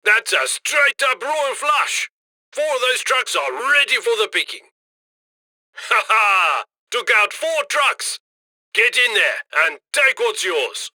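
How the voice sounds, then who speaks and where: Bright, forceful, cocky heist handler. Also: male voice, extremely high pitch, dry recording, heavily acted. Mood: pleased, cocky. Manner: cocky, brisk, commanding. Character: brash, upbeat male heist handler guiding a criminal crew. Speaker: mission handler giving instructions over comms. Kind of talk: video game voice lines, punchy commands.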